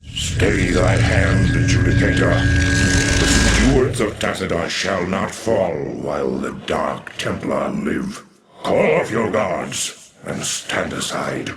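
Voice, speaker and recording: Very deep, echoing ancient alien warrior. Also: male voice, takes it slowly, a little hiss or hum. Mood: stern, commanding. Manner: solemn, portentous, archaic grand speech. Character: ancient alien dark templar warrior, grave and imperious. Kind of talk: theatrical video game acting. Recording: roomy echo on the voice.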